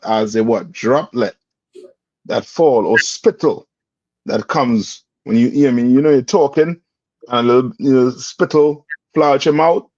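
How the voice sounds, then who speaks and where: A man's voice: medium pitch, a little mellow, no echo. A man leading a religious class, quizzing his students and prodding them for answers. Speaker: class instructor. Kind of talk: call-and-response religious class. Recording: dull, dry lo-fi recording.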